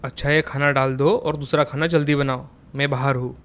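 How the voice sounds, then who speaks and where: A man playing a stern but reasonable young husband and son in an animated family story, plain and admonishing. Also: medium pitch, fairly quick, close to an everyday voice. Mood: displeased. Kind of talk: animated Hindi moral story dialogue.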